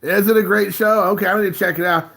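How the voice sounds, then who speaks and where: A man's voice: high-pitched, steady tone, quick pace, creaky, fairly bright tone. A man in his late thirties hosting a casual home live stream from his phone, rambling and chuckling as he chats with viewers. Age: 30s. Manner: rambling, laid-back, chuckling often. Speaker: livestream host.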